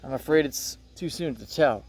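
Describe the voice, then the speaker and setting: A man reading a play aloud as a serious, caring veterinarian, explaining in a measured way. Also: on the high side, a little mellow. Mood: grave. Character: Doctor Hayes, serious caring turtle veterinarian.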